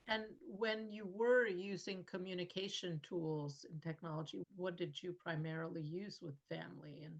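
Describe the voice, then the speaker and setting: A woman's voice: fairly low pitch, steady tone, moderate pace, creaky, hardly any pauses, lo-fi sound. A woman hosting a podcast interview, asking musing questions and offering short encouraging affirmations. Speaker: podcast host. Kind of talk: conversational interview.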